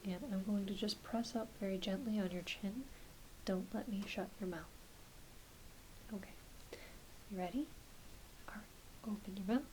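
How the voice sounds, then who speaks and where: A woman performing a soft-spoken, often whispered ASMR roleplay as a doctor, talking gently and reassuringly to a patient with some hesitancy. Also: medium pitch, moderate pace, pauses often, poor audio. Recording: clear background hiss.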